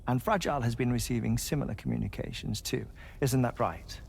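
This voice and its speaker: An actor playing an earnest, fussy middle-aged man in a video game cutscene, speaking with deliberate, formal, theatrical phrasing in a gravelly voice. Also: medium pitch, a little mellow, a little hiss or hum, close to an everyday voice. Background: music.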